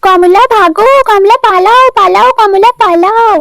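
A woman's voice: very high voice, steady tone, measured pace, vocal fry, fairly bright tone, full character voice. A woman voicing a frantic, doting village mother in a melodramatic doll-puppet cartoon, wailing and repeating herself. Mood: panicked. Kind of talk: melodramatic puppet-story voice acting.

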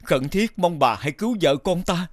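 A man playing an anxious, devoted king in an animated fairy tale, speaking in a formal, courtly way. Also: a little high, moderate pace, dry recording. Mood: respectful, pleading.

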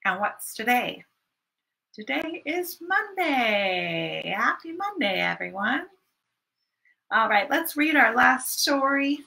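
A woman in her thirties leading a children's storytime, speaking in an animated, sing-song way with repeated phrases for young listeners. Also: high voice, slow, creaky, slight background noise. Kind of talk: children's storytime read-aloud and singalong. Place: small furnished room at home, indoors.

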